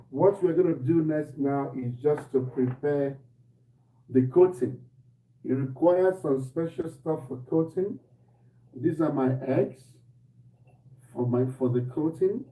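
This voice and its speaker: Casual male cooking host, slightly hoarse, somewhat high voice, echoey kitchen stream. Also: distant mic. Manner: relaxed, repeats himself, greets viewers by name. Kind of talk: live-stream cooking demo, chatting to viewers. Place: home kitchen. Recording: echoey room, voice off-mic, faint noise.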